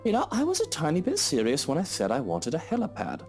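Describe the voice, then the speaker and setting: A man's voice: on the high side, huge pitch swings, fast talker, darkish tone. A voice actor playing a playful, greedy young rich husband who teases his wife and guests in a theatrical manner. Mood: teasing. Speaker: wealthy client. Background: game music.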